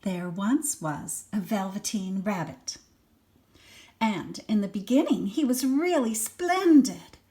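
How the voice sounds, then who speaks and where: Slow-paced grandmother reading a storybook. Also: medium pitch, lively intonation. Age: older. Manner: gentle explaining to a small child, murmured asides. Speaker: grandmother reading a picture book aloud. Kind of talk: children's story read-aloud with chatty asides.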